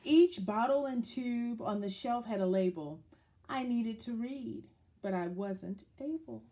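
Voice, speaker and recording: Slow, very animated woman reading a storybook. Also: a little high. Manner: dramatic, deliberate storytelling with questions to young listeners. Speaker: children's story reader. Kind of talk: expressive picture-book read-aloud.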